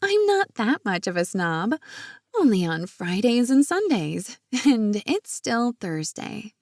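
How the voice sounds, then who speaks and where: A woman's voice: mid-range voice, very animated, takes it slowly, a little mellow, dry recording, clean studio sound, full character voice. A woman voicing a bubbly, teasing young woman in audiobook character dialogue. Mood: playful. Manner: exclamatory, teasing. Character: bubbly, playful young woman out with her best friend.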